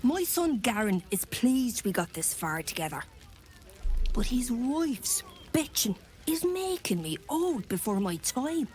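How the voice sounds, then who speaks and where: An actress playing a weary, sharp-tongued mother of a grown son, complaining in a world-weary way. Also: on the high side, very animated, unhurried. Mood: weary grumbling.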